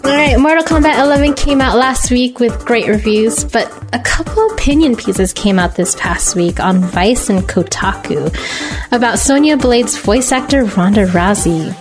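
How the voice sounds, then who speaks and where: A woman's voice: fairly high pitch, lively intonation, normal speed, over music. A woman hosting a video game talk show, bringing up news in a casual, chatty way.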